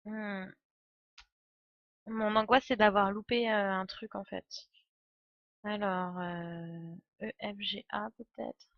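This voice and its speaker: A woman's voice: medium pitch, steady tone, slow pace, long pauses, no room sound, highs cut off. A woman streamer giving casual live game commentary, thinking aloud in a hesitant way and correcting herself. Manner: hesitant, musing, self-correcting. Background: soft game music.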